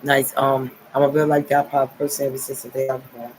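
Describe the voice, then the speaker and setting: Man, 40s, from New Jersey; repetitive, rambling solo vlog, mid-pitched voice over faint background noise. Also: little pitch movement, quick pace, low-quality recording. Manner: rambling, repetitive, filler "okay" and "nice". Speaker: vlogger. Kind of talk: rambling monologue to camera.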